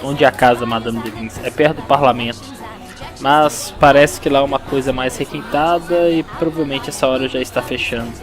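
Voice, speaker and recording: Man playing a courteous, businesslike steampunk gentleman. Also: medium pitch, steady tone, brisk, music in the background, natural voice.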